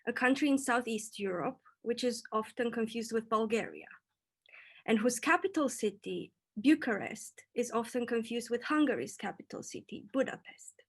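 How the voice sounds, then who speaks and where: A young woman tells a prepared story in a light, playful way. Her voice sits fairly high for a woman, and her delivery is even and practised. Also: normal speed, vocal fry, slightly rough. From Romania. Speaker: PhD student storyteller. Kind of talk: prepared talk read to an online audience.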